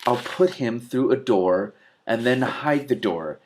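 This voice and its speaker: A man's voice: medium pitch, expressive, slow, darkish tone, pitched above the performer's own voice. A man voicing a vain male storybook cat, breezy, digressive and self-satisfied. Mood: smug. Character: smug, vain male talking cat telling tales.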